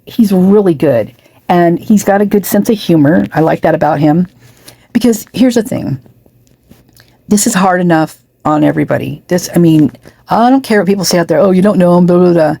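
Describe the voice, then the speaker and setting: Husky woman with a fraying voice. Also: on the low side, moderate pace, mellow, no room sound. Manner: chatty, many restarts and asides. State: losing her voice. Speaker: true crime commentator. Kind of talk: casual rambling commentary.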